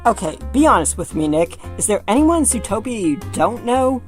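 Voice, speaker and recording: A woman's voice: mid-range voice, big pitch swings, fairly quick, faint music behind, natural voice. A woman playing an earnest, determined animal ally of a lawyer in an amateur audio drama. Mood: exasperated.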